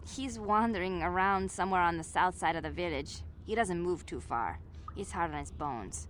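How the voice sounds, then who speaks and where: A woman's voice: on the low side, normal speed, slight background noise, lightly acted. A woman voicing a wistful, kindly young slum villager in a video game, speaking earnestly. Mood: helpful, kind. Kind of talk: video game dialogue acting.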